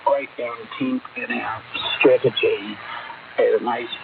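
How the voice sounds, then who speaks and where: A man speaking in a measured interview clip on shortwave radio. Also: medium pitch, steady tone, creaky. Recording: noisy narrow shortwave radio, distant.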